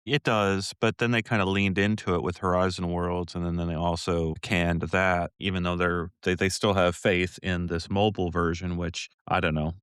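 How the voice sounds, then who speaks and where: A man interviewing a guest in a relaxed, musing way, often restarting his phrases. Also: deep voice, normal speed, hardly any pauses, clear, resonant tone, no room sound, studio quality. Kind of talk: casual interview conversation.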